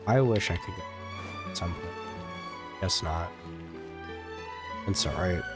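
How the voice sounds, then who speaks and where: Young guy streamer, lowish voice, chatty and reactive over faint game music. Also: slow. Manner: chatty, reactive, thinks aloud. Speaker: gaming streamer. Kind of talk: casual gameplay commentary.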